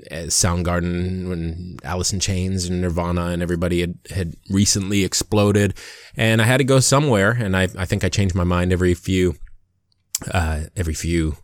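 A man in his forties with an American accent and a low-pitched voice, recorded dry and close. He talks informally as a podcast host, breaking off and picking his sentences back up. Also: dry recording. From Hawaii, United States. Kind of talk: casual conversational podcast talk.

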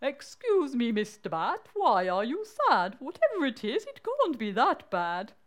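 A woman voicing a kindly mother mole, drawn-out and deliberate, in a rhyming children's story. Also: sits high, wildly swooping pitch, heavily acted. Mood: motherly.

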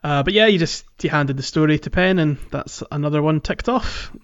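A man with a fairly high voice, co-hosting a casual gaming podcast. He tells things in a jokey, storytelling way, on a slightly dull, lo-fi mic. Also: fairly quick, smooth, no room sound. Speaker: podcast co-host.

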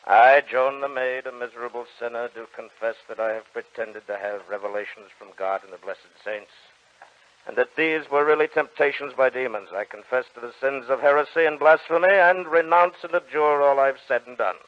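An actor playing a stern church inquisitor in an old-time radio drama, with a commanding, theatrical, threatening delivery. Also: male voice, medium pitch, normal speed, natural voice. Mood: solemn, cold. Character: stern, menacing male church inquisitor judging a heretic. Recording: dull, band-limited old radio recording.

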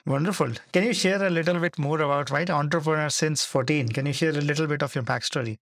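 A man's voice: on the high side, quick pace, fairly bright tone, no echo. A man hosting a podcast, who reads an earnest, emphatic introduction and then asks interview questions. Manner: earnest, emphatic, repeats key words. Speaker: podcast host.

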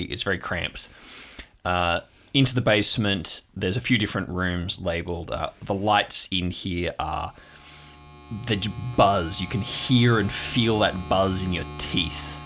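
Low-voiced man running a tabletop game. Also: unhurried, a bit bright, a little hiss or hum. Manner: descriptive, conversational storytelling. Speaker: game master. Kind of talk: improvised narration of a tabletop game.

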